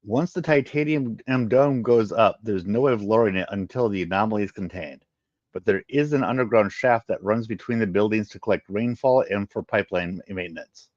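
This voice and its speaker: A man's voice: on the low side, a little fast, darkish tone, natural voice. A man voicing a helpful, earnest male alien facility worker who explains things in a sci-fi story. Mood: explanatory. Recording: muffled, roomy home recording.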